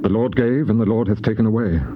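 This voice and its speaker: An actor with a British accent playing Job, a pious suffering patriarch, mournful and impassioned in dramatized scripture. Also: male voice, lowish voice, fairly even intonation, fast talker, lightly acted. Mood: grieving, reverent. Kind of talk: dramatized scripture reading, British stage acting. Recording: dull hissy cassette tape.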